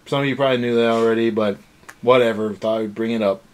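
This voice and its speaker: A man's voice: medium pitch, fairly even intonation, fast, low-quality recording. A young man co-hosting a casual review show, ranting in a sarcastic, exasperated way. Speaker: anime review show co-host.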